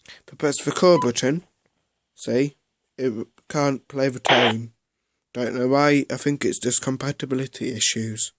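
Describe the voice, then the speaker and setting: A man giving a casual, unscripted product review in a halting way, often repeating himself. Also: medium pitch, fairly even intonation, no echo.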